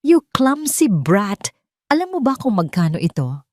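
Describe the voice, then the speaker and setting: A woman voicing a cruel, irritable rich woman in an audio drama, shrill and scornful as she berates in mixed Tagalog and English. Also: big pitch swings, unhurried, dry recording, clean studio sound. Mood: furious. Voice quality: shrill, sharp.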